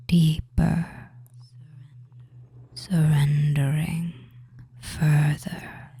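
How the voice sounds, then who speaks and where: A performer playing a soothing, commanding witch hypnotist in a breathy whisper, coaxing in short drawn-out phrases with long pauses. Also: medium pitch, warm tone. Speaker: audio roleplay performer. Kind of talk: whispered hypnosis audio roleplay, slow guided trance.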